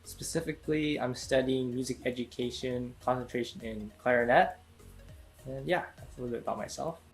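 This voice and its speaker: A young man from Sacramento, a college student and field assistant, introducing himself politely in a measured way over a video call. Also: medium pitch, creaky, faint music behind. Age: young adult.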